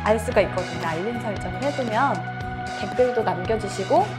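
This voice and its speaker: A woman's voice: medium pitch, animated, a little fast, loud music behind the voice. A woman co-hosting a health show, chatting casually and reacting in a playful way. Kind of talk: casual chat.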